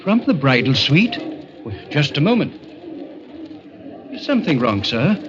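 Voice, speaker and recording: An actor playing a polite, nosy hotel desk clerk in 1940s radio drama style, heard on a muffled old recording. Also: male voice, a little high, sing-song, measured pace, noisy background. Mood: officious, puzzled.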